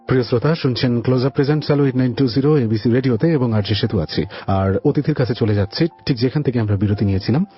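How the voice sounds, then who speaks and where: A man's voice: medium pitch, fast, few pauses, husky. A man with a Bangladeshi accent hosting a radio show, presenting to listeners and questioning his guest. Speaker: radio host. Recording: muffled radio audio with music behind. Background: background music.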